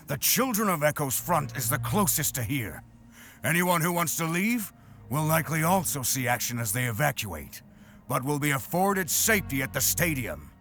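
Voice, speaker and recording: Gravelly, resolute war leader. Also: male voice, medium pitch, fairly bright tone. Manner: formal, measured, grave. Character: resolute, sombre male war leader rallying warriors. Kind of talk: video game character voice acting.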